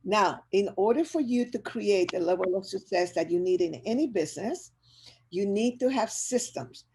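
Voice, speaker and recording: Energetic older woman with a Chilean accent. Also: mid-range voice, normal speed. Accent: Chilean Spanish. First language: Spanish. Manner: energetic, upbeat, addresses audience directly. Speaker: business speaker. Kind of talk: motivational webinar talk.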